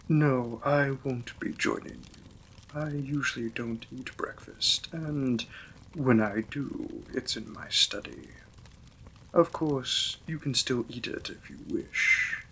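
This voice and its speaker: A man playing a courteous, reserved Victorian vampire lord in a romantic audio drama, speaking in formal, gentle, polite phrases. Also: medium pitch, slight background noise, rough recording.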